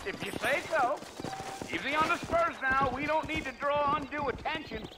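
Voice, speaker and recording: An actor playing a chatty, folksy Old West cowboy guide in a video game, with a rustic American Western drawl. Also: male voice, very high-pitched, moderate pace, very noisy. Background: music, horse hooves.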